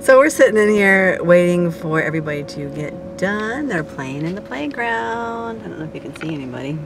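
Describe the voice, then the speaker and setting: A woman's voice: lowish voice, sing-song, normal speed. A middle-aged woman chatting casually to her children, relatives and the camera as a family vlogger. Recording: noisy handheld home-video recording.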